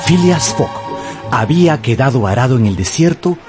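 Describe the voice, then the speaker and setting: A man narrating a radio drama in Spanish with deliberate, suspenseful storytelling. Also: mid-range voice, animated. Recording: music behind the voice.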